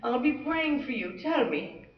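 A man's voice: very high-pitched, lively intonation, unhurried, roomy sound, distant mic, muffled recording, pitched above the performer's own voice. A man voicing a sympathetic Irish pastor in a put-on Irish accent, with a soothing, consoling delivery.

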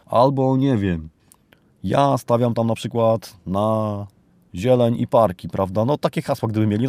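A man's voice: mid-range voice, dark tone, no echo. A man from Poland hosts a podcast, speaking off the cuff in an ironic, rambling commentary full of rhetorical tag questions. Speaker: podcast host. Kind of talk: unscripted spoken commentary, monologue.

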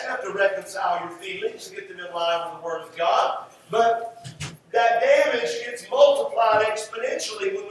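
Male preacher, high voice, emphatic sermon delivery, repeated phrases, very echoey room, distant mic. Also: a little hiss or hum. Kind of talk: sermon with scripture teaching and prayer. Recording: roomy echoing hall, distant mic, music.

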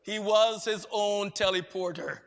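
A high-pitched man from Barbados delivers a measured, reflective sermon. His voice is bright and ringing, and it carries a long reverb through a church sanctuary. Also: creaky. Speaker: preacher.

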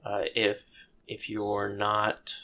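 Low gravelly man, thoughtful and halting. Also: completely flat delivery, pushed and bright. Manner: thoughtful, hesitant, many ums and restarts. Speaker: podcast co-host. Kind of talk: casual chat.

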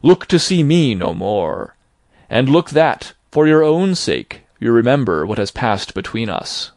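Mournful chained ghost voiced by a man, high loud lamenting, dry close sound. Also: very animated, measured pace, dry recording. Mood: grave. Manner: declaiming, lamenting. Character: mournful chained male ghost, grave and warning.

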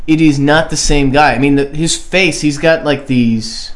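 A man's voice: on the high side, measured pace. A man co-hosting a podcast, chatting casually and informally about movies. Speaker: podcast co-host.

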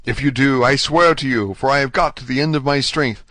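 A man's voice: mid-range voice, lively intonation, moderate pace, fairly bright tone, no room sound, close to an everyday voice. A man voicing a desperate, ardent young male prisoner in a storybook, pleading fervently. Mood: fervent vows. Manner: impassioned, fervent, exclamatory.

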